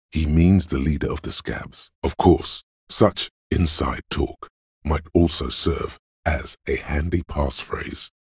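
A detective's inner voice of pure logic for a video game. It is exceptionally low and heavily husky, reasoning coolly and deliberately. The sound is dry and close, with every deduction laid out in a measured, analytical way. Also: male voice, darkish tone, no echo, exaggerated voice. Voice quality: very deep, gravelly, husky. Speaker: voice actor. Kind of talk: scripted video game voice-over, inner-voice narration.

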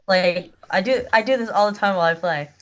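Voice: mid-range voice, fairly quick, a crowd in the background. A young gamer chatting casually as a co-player in a gaming video. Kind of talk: casual chat while gaming. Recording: dull, clearly noisy mic with clicks.

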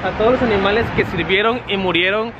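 A man's voice: high voice, fast talker, highs cut off, background noise. A young man chatting to his viewers while filming himself at arm's length outdoors in a busy city and on a metro. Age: young adult. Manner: chatty, addresses viewers directly. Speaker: travel vlogger. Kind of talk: casual travel vlog. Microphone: handheld camera at arm's length.

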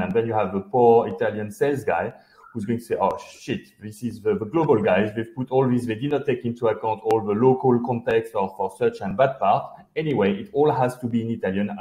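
A man's voice: lowish voice, dark tone. A man in his fifties from France with a French accent, explaining his background in a video call interview with frequent hesitations. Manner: explanatory, many hesitations and restarts. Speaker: guest, company founder. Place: indoor home office. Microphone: headset. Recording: roomy video call audio.

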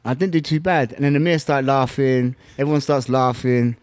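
A middle-aged man with a southern English accent, telling stories casually as an interview guest, in animated, slangy, swear-laden talk that acts out remembered exchanges. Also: medium pitch, moderate pace, no room sound. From England. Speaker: interview guest, former kickboxing champion.

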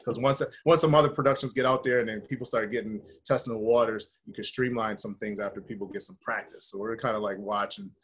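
A middle-aged American man with a husky voice, talking informally as an interview guest on a video call. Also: lowish voice, steady tone, fast, few pauses. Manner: informal, conversational, peppers talk with "man" and "you know". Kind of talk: casual interview chat. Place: indoor room with bare wall, video call.

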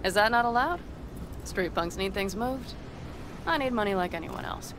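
Woman acting young nomad driver, fiery, sarcastic, defiant, swooping pitch, vehicle noise behind, video game. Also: expressive, moderate pace, close to an everyday voice. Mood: guarded, sarcastic. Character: hot-tempered, foul-mouthed young nomad driver woman. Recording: clearly noisy with vehicle rumble.

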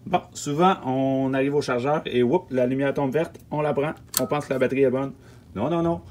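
A man with a Quebec French accent explains practical tips in an informal, insistent way. He pauses to search for words and repeats key ones for emphasis. His pitch is medium, and a faint hum sits behind him in a small storage space. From Quebec, Canada. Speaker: film production logistics technician giving tips. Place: small wooden-lined storage truck interior. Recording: faint hum and background noise.

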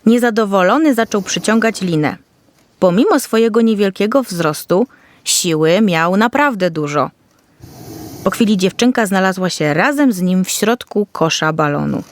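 A woman's voice: mid-range voice, lively intonation, moderate pace. A woman storyteller reading a scripted fairy tale for children.

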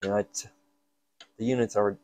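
Male hobby repair host: lowish, soft-edged mellow voice, informal, talking to himself at the workbench. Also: steady tone, normal speed. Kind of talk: casual hands-on repair narration. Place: indoor workshop at a workbench.

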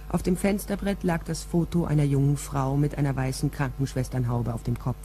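A woman's voice: low-pitched, dark tone, a little hiss or hum. An actress playing a cool, guarded woman private detective in a crime radio drama, wry and matter-of-fact.

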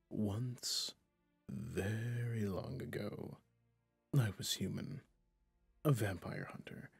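A man playing a stern, haunted, centuries-old vampire hunter in an ASMR roleplay, speaking with slow menace in a breathy, gravelly voice that often drops to a whisper. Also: deep voice, no echo, full character voice. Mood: wistful, reflective. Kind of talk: ASMR roleplay monologue to the listener.

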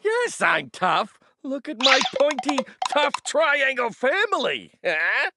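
Swooping, cocky cartoon triangle. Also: female voice, high voice, huge pitch swings, takes it slowly, full character voice. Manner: swaggering, boastful. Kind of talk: children's cartoon acting. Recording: music behind.

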